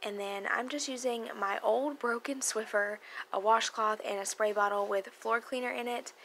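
A woman's voice: fairly high pitch, fairly even intonation, moderate pace, a bit bright, slight background noise. A young woman, a cleaning vlogger, casually talking over her footage and explaining what she is doing around the house. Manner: chatty, explains as she goes.

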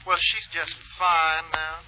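High-voiced, folksy fake Southern bumpkin. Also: male voice, flat delivery, takes it slowly. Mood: folksy, coaxing. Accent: put-on American Southern drawl. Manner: folksy, drawling, sugary endearments. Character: fugitive man posing as a folksy Southern bumpkin. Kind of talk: old-time radio drama acting. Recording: dull, noisy old radio recording.

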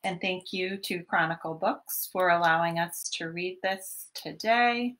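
A woman in her thirties hosting storytime, reading a picture book to young children in an expressive, teacherly way. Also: fairly low pitch, a little hiss or hum. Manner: expressive, deliberate teacherly delivery. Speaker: children's library storytime host. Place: small home room, indoors.

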